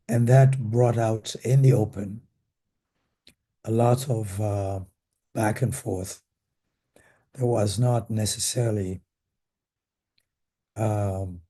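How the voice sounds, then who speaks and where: An older man speaks as a panelist looking back on past events. His voice is very dark and soft-edged, hoarse and raspy from a cold. He goes slowly and deliberately, with halting pauses between phrases. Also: lowish voice. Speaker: panelist, retired professor and former association president. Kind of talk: reflective panel talk recalling history.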